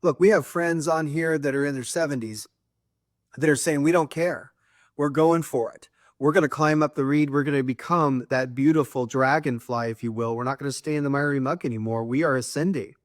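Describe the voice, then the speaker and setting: A man's voice: a little high, fairly even intonation, a little fast, no room sound, studio quality. A man co-hosting a spiritual talk show, speaking earnestly in a preachy way. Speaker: co-host.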